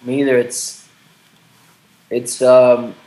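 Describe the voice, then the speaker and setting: A man's voice: mid-range voice, little pitch movement, takes it slowly, warm tone. Reflective man in his late thirties, echoey room. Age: 30s. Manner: hesitant, restarts often, swears casually. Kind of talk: candid conversation. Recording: roomy echo.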